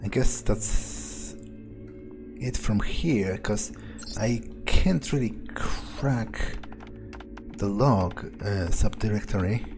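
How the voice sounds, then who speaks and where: A man playing a sardonic, pragmatic vampire, muttering his thoughts aloud in a hesitant, deadpan way as in-character game commentary. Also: on the low side, very slow, over music. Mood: musing, muttering. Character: sardonic, pragmatic male vampire sneaking around. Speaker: game player roleplaying a character.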